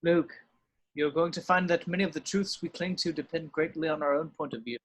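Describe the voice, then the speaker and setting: Man reading calm ghostly mentor, lightly high voice. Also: fairly quick, slightly rough, rough recording. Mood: regretful. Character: ghostly old male mentor, calm and regretful. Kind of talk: online amateur script table read. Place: home room, on a video call.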